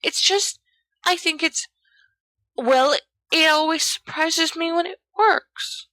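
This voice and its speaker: A woman's voice: extremely high pitch, slow pace, sharp tone, no echo, voice pushed up, heavily acted. A woman voicing a timid, anxious schoolboy wizard in a storybook reading, faltering and muttering. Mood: timid, puzzled.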